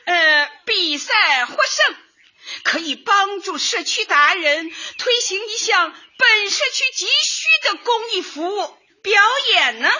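A chatty grandmother from Shanghai in her sixties for a radio comedy. Her voice is very high and piercingly bright, swinging animatedly through drawn-out, effusive exclamations. The recording is slightly dull. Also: full character voice. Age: 60s. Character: cheerful chatty 68-year-old Shanghai grandmother, retired culture worker. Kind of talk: comic radio drama acting.

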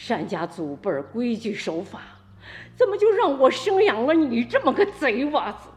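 A stern middle-aged village mother in a radio play, with a cutting, scolding way of talking. Her voice is high and swings widely. Faint music plays behind. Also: big pitch swings, moderate pace, a little mellow. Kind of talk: radio drama acting.

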